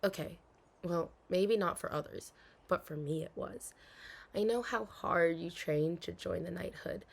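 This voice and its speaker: An anxious young princess , acted by a woman, with a breathy, husky voice and slow, formal storybook diction. She is dutiful but hesitant, hiding her longing in an intimate audio roleplay. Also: lowish voice. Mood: bright, defensive.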